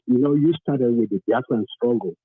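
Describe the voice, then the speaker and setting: A man's voice: mid-range voice, brisk, breathy edge. A man from southern Nigeria with a Nigerian accent, calling in to a talk programme and speaking with passion and emphasis, repeating phrases to drive his points home. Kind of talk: impassioned phone-in testimony. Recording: thin phone-line sound, roomy.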